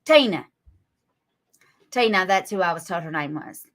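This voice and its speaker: A woman's voice: on the low side, expressive, moderate pace, fairly bright tone. Woman in her forties, scolding. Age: 40s. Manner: scolding, sarcastic, drawling. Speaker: livestream guest. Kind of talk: live stream rant at critics.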